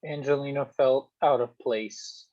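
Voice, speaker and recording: Male student, slow pace, halting answers, thoughtful pauses, dull muffled recording. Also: medium pitch, fairly even intonation, dark tone, slight background noise. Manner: hesitant, pausing to think.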